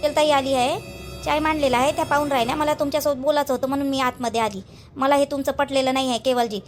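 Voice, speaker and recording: A woman's voice: high-pitched, fairly bright tone. A woman playing an aggrieved, stubborn young village wife in a family cartoon, protesting and questioning in a Vidarbha Marathi accent. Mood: defiant. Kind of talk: family drama cartoon dialogue.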